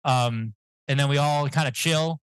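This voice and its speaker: A man's voice: fairly high pitch, a little slow, a bit bright, clean tone, no room sound, low-quality recording. A man, a guest on a podcast, telling a rambling, jokey story in casual chat with self-mocking asides. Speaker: guest comic writer.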